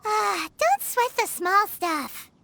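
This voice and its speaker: A woman voicing a tiny, childish, greedy fairy companion in an anime-style game, cutesy and whiny, with a squeaky voice. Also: big pitch swings, slow pace, creaky, noisy background, exaggerated voice. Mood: scheming. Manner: refers to self in third person, whiny, cutesy. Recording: loud game music behind voice.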